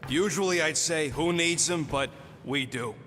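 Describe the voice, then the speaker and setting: A man's voice: a little high, measured pace, pushed and bright, long reverb tail, slight background noise. A man voicing a brash, cranky small creature in a video game, a streetwise mercenary with snide, slangy delivery. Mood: grumbling. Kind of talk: game cutscene voice acting.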